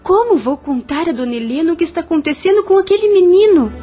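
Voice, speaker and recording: A high, melodramatic young heroine of a period radio romance, acted by a woman, sighing and pleading with sorrow. Clear music plays behind her emotive lines. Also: animated, fast, warm tone. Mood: grieving, pleading. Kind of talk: audio novel acting.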